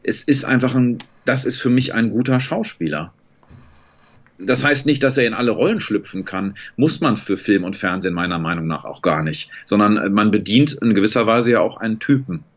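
A man's voice: lowish voice, animated, brisk. A man in his thirties, a voice actor guest, chatting thoughtfully and fondly about films over a video call from a home room. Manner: thoughtful, fond film talk with many fillers. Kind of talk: relaxed video-call interview chat. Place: home room with shelves and pink light. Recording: slightly dull, muffled call audio.

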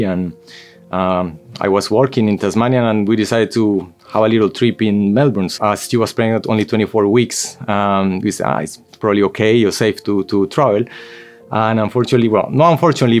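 A man, around his thirties or forties, sharing a personal testimony in an informal storytelling way. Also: on the low side. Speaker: church member giving testimony. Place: indoor photo studio, seated before a backdrop. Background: background music.

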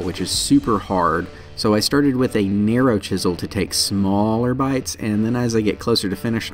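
A man in his thirties, a channel host, narrating a woodworking build in a plain, practical and self-deprecating way. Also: on the low side. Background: soft guitar music.

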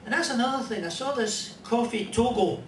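An older Scottish man with a fairly high voice tells stand-up jokes in a dry, rambling way. He is picked up from a distance in a roomy club, with room noise clearly behind him. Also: lively intonation. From Scotland. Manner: dry, rambling joke delivery with pauses. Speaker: comedian and musician. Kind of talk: stand-up storytelling. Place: small club stage in front of a band. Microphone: stand mic, filmed from a distant camera. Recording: roomy club sound from far off.

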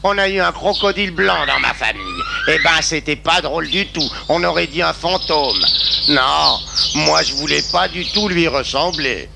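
A man voicing a blustering, chatty crocodile in a cartoon, with a creaky voice. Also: on the high side, huge pitch swings, normal speed, heavy background noise, heavily acted. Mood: chatty, teasing. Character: teasing, blustering male crocodile who threatens to bite. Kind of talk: cartoon dubbing.

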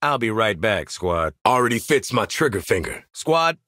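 An American man voicing a cocky, gruff, sardonic assassin in a video game, with streetwise slang and dry wisecracks. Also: lowish voice, big pitch swings, normal speed, bright, forceful tone, dry recording. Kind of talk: isolated game combat barks and banter.

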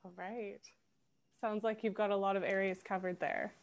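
A woman's voice: mid-range voice, flat delivery, normal speed. Brief woman moderator prompting speakers. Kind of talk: hosting a video call.

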